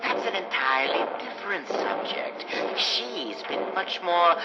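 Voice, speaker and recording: An actor playing a sinister mad doctor in an old-time radio horror drama, falsely kindly and theatrical. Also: male voice, high-pitched, expressive, unhurried, heavy background noise. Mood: gloating. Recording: dull radio sound under loud music.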